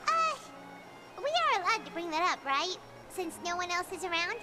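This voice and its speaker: A woman voicing a small, childlike, curious fairy companion in a video game, squeaky and bubbly. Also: big pitch swings, moderate pace. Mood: sheepish. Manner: refers to self in third person, bubbly, inquisitive. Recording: game dialogue over loud music.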